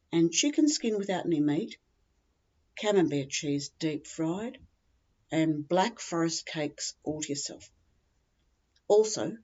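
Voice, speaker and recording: A woman's voice: low-pitched, dark tone, slightly rough, dull audio, exaggerated voice. A woman with an Australian accent playing a chatty, tactless older woman who rambles on obliviously in a humorous monologue. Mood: bossy, advising. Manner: rambling, matter-of-fact, oblivious, opinionated.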